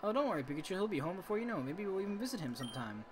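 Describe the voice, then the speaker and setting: A man's voice: high voice, big pitch swings, rapid-fire, background noise, voice pushed up. A man voicing a doting, worried mother from a visual novel, with game music behind. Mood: soothing. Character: doting, worried mother seeing her child off. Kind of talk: reading visual novel dialogue aloud.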